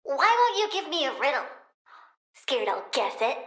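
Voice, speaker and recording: A woman's voice: sits high, very animated, moderate pace, fairly bright tone, echoey room. A woman voicing a young plant-powered girl in a video game, inquisitive, chatty, sassy and childishly blunt. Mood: sly, smug. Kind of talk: video game character dialogue.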